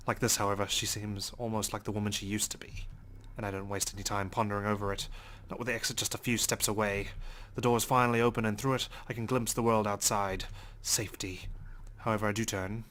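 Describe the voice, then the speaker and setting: A man's voice: on the low side, a bit bright, a little hiss or hum. A man reading visual novel text aloud as dramatic first-person narration. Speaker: let's play host reading game text aloud.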